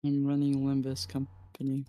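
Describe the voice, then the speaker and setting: A man chats casually on a game stream. His delivery is very flat and his tone dark and mellow, at a middling pitch. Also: moderate pace. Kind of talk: casual chat.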